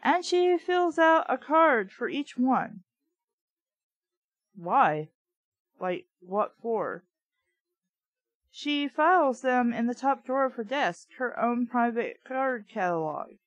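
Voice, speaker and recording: A young adult woman hosts a casual read-aloud with her own commentary. Her delivery is blunt and opinionated, at an unhurried pace. The sound is dry and close, with no room echo. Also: fairly high pitch, lively intonation, muffled recording. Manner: blunt, opinionated, swears freely. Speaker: host reading a children's book aloud with commentary.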